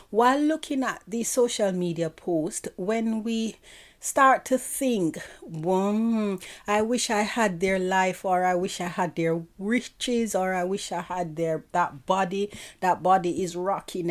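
A woman hosting a devotional podcast, stuffy and nasal from hay fever, chatty and repetitive, dropping softer and earnest when she prays. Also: mid-range voice. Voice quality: congested, nasal. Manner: chatty, repeats herself, self-correcting. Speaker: podcast host. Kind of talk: devotional podcast talk with prayer.

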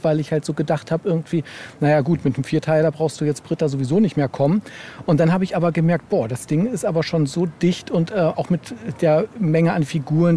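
Mellow higher-voiced man chatting outdoors. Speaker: interview guest, novel writer. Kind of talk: casual conversational interview. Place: outdoors on a bench in town. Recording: clear outdoor background noise. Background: urban outdoor ambience.

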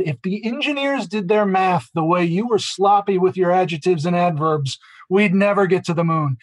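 A man's voice: a little high, dry recording. A man, a guest author and marketing executive, answering interview questions with fluent, detailed storytelling and a few fillers.

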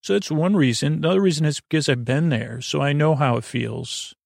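A man hosting a bedtime podcast, talking in a casual, meandering, halting way with goofy, reassuring asides and a gravelly voice. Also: fairly high pitch, dry recording, studio quality. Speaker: podcast host.

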